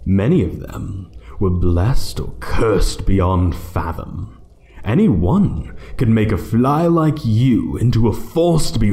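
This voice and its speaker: An ancient dragon guarding its hoard, played by a man, speaks in a low, dark, mellow voice. It is haughty and menacing, toying with its prey in a fantasy audio roleplay, with a melody that rises and falls widely. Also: exaggerated voice. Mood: sly taunting. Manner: haughty, taunting, slow deliberate menace. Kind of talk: fantasy ASMR roleplay monologue.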